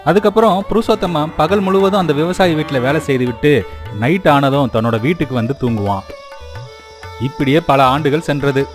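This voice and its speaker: A man with a somewhat high voice narrates a moral story in a scripted storytelling style. Music plays clearly behind him. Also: fairly quick.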